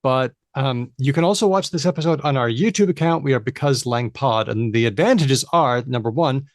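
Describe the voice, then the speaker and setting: A man's voice: medium pitch, brisk, no echo, studio quality. A man hosting a live podcast, upbeat as he keeps the show moving along. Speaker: podcast host.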